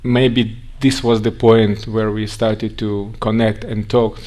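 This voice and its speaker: A man with a Russian accent, raised in Siberia, giving a conference talk in a halting way with frequent restarts. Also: lowish voice, level tone, a little mellow. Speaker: guest speaker.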